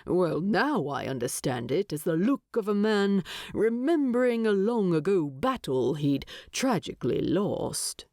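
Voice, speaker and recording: A woman performs a gruff storybook dwarf man with a creaky voice. He speaks slowly and formally, hesitating politely, yet his pitch moves in a lively way. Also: no room sound, studio quality. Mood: dry, at ease. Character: gruff, awkward, courteous dwarf man in exile.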